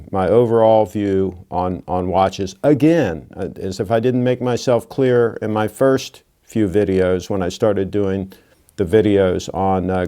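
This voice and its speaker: A man's voice: on the low side, warm tone, clear, resonant tone. Blunt sixty-year-old man. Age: 60s. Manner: blunt, lecturing, folksy asides. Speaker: watch channel host. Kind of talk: casual monologue to camera.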